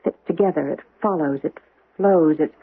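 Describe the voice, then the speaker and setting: An actress playing a sharp-witted, bookish older American woman in a radio drama, speaking precisely with wry emphasis. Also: low voice, normal speed, breathy edge, phone-quality sound. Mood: pondering, intent. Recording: thin band-limited old radio recording.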